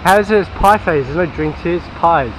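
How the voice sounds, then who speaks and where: High-pitched giggly young man. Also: fairly quick, crowd noise, low-quality recording. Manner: giggly, mocking. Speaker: fishing friend. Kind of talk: casual banter while fishing. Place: outdoors by a creek.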